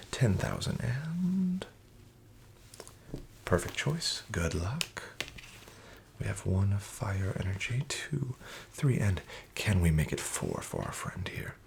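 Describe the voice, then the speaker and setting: Deep, gravelly, soft-spoken dealer man. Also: takes it slowly, slight background noise. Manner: soft-spoken, half-whispered, smooth and flattering salesman patter. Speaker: casino dealer in a roleplay. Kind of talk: soft-spoken ASMR roleplay.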